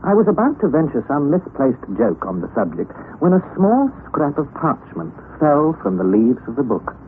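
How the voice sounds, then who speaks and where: Animated young English gentleman. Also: fairly high pitch, normal speed, phone-quality sound. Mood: dramatic storytelling. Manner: articulate, formal, dramatic storytelling. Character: earnest young Englishman, professor's devoted nephew, narrating his adventure. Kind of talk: first-person radio drama narration and acting. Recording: noisy, thin, band-limited old radio recording.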